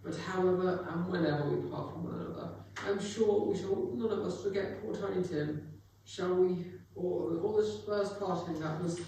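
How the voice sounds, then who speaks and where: A young man playing a humble, loving, grieving father with a halting, tender delivery in a dramatic reading. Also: sits high, vocal fry, dark tone. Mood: wistful, warm. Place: live performance in a reverberant museum room. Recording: very echoey hall, distant mic, faint noise.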